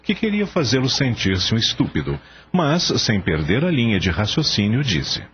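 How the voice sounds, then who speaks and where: Brief: male Brazilian narrator for radio drama; deep, animated storytelling, slightly dull recording. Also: moderate pace. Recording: muffled radio recording, light noise.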